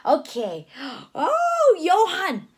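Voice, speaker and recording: A woman reading a picture book aloud to a young child, animated and gasping, stopping often to ask the child questions. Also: extremely high pitch, very slow.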